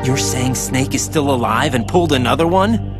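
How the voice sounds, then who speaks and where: An actor playing an earnest, inexperienced young special forces rookie in a video game cutscene, full of questions. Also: male voice, medium pitch, expressive, normal speed, over loud music, natural voice. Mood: puzzled, questioning.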